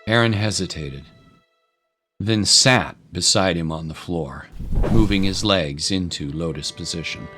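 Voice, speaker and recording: A man narrating a scripted dramatic story in a solemn, deliberate way. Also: low voice. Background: clear music.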